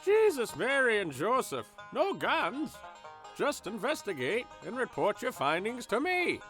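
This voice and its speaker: High, sharp, blustering crooked deputy. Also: male voice, huge pitch swings, measured pace, soft background music, heavily acted. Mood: bullying. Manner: folksy, blustering, threatening. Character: crooked, bullying small-town male deputy, folksy and smug. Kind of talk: horror radio play acting.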